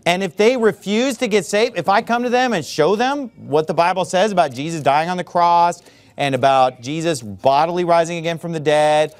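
A middle-aged man preaching a sermon in a church, emphatic and sarcastic, with sudden shouted words. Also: fairly high pitch, moderate pace. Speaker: pastor.

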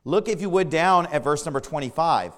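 Male pastor, slightly high voice worn hoarse, emphatic sermon delivery, echoing hall. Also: lively intonation, fairly quick, fairly bright tone. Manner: emphatic preaching with rhetorical questions. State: strained voice from overuse.

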